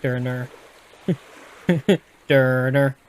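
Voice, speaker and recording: A man's voice: medium pitch, steady tone, extremely slow, a little hiss or hum. A man with a Texan accent, reading a gamebook aloud and casually chatting through its rules with a live audience, often restarting his sentences. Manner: casual and explanatory, with frequent restarts and self-corrections.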